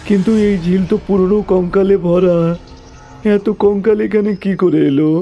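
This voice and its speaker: A man's voice: sits high, steady tone, normal speed, mellow, lo-fi sound, soft background music, pitched above the performer's own voice. A man voicing a frightened young male victim in a horror cartoon, agitated and pleading. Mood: shocked disbelief. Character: frightened, grieving young man facing a devil. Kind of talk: dramatized horror story for cartoon.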